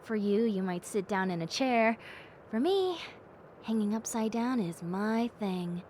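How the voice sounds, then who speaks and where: A woman voicing a gentle, cheerful young bat girl in a soft, playful and sincere audio roleplay. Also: medium pitch, expressive, unhurried, slight background noise, lightly acted. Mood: playful, content. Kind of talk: audio roleplay addressed to the listener.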